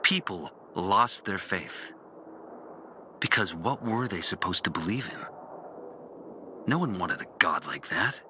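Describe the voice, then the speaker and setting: An intense, low-voiced former priest. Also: male voice, lively intonation, background noise. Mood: bitter, resolute. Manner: brooding, deliberate confessional delivery with dramatic pauses. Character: disillusioned former priest, bitter and intense, recounting his lost faith. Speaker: character narrating an audio journal. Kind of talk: dramatic video game monologue.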